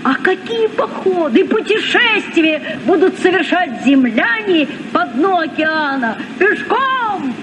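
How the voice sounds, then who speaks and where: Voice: very high voice, very animated, takes it slowly, hardly any pauses, dull audio, exaggerated voice. An actor playing an eager teenage boy captain in a Soviet radio play, enthusiastic and exclamatory. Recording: noisy old radio recording, reverberant.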